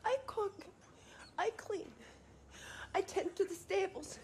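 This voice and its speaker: An actress playing a gentle, protective farm wife and mother, speaking in careful, formal phrases in a dramatic video game. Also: very high voice, slow pace, a little hiss or hum. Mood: bitter, defiant.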